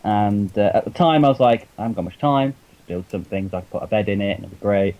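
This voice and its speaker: A man co-hosting a casual podcast, chatty and halting, often restarting his sentences. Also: lowish voice, highs cut off. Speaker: podcast co-host.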